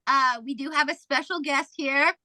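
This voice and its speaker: Female podcast host; chatty, filler-heavy; high, bright, dry sound. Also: moderate pace, no room sound. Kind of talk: casual podcast hosting.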